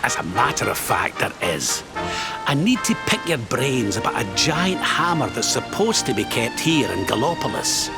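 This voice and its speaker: Gravelly game adventurer. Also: male voice, medium pitch, sing-song, normal speed. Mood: matter-of-fact. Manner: colloquial, blunt, matter-of-fact. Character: plain-spoken, practical, wary male adventurer. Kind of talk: video game character acting. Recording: loud game music behind voice.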